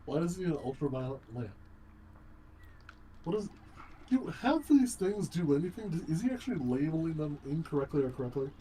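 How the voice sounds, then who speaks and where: A man's voice: big pitch swings, a little fast, warm tone, low-quality recording. A man bantering casually over a game livestream on an online voice call, swearing and often repeating his phrases. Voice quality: low baritone voice. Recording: voice chat with clear background noise.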